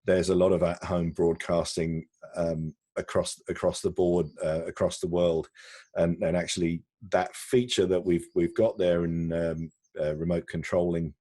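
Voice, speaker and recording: A man answering questions in a conversational video-call interview, halting and often repeating words as he explains. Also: sits low, little pitch movement, dark tone, no room sound. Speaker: interviewee, broadcast technology executive.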